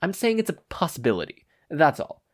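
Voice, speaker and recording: A man plays a fussy male official in a story, pitched well above a normal talking voice. He is prim and precise, with an exasperated edge. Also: huge pitch swings, fairly quick. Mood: brisk, businesslike.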